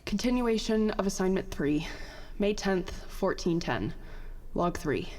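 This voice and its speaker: A woman playing an anxious, self-deprecating young petty officer, halting and sarcastic, in a science fiction audio drama. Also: medium pitch, expressive, a little mellow, lightly acted. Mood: wry, weary. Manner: halting, muttering, self-conscious. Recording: long cave-like reverb.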